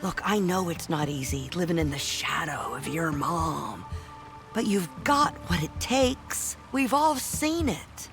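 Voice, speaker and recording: An actor playing a blunt, sarcastic adventuring companion in a game, with a dry, cutting delivery. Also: male voice, on the high side, expressive, slow, music in the background. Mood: encouraging. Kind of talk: game voice acting.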